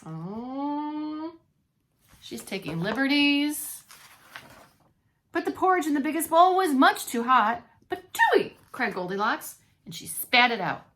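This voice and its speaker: A woman's voice: high-pitched, big pitch swings, slow pace, a bit bright. A woman reading a story aloud to young children as a preschool teacher, expressively and with playful asides. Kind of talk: expressive children's read-along narration.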